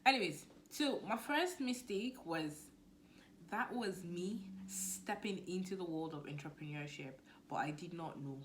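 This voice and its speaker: A young woman with a Nigerian accent talking casually to camera as a business coach, lively and now and then dropping to a near-whisper. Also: on the low side, a little slow, noisy background. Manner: chatty, animated, drops to near-whisper asides.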